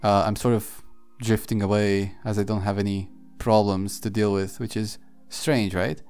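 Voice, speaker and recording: A man hosting a solo podcast, thinking aloud in a halting, reflective way with frequent pauses and fillers. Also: fairly low pitch, little pitch movement, darkish tone, clean tone. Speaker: podcast host. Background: faint background music.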